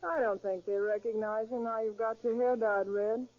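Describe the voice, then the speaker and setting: An actress playing a gangster's devoted, anxious sweetheart in a 1930s radio drama, tender and slangy. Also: female voice, a little high, fairly even intonation, normal speed, darkish tone, lightly acted. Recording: muffled, lo-fi 1930s radio recording.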